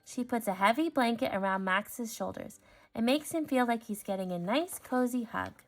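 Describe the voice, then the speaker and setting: A woman reading a children's story aloud, expressive and clearly phrased for young listeners. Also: fairly high pitch, normal speed. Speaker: storybook reader.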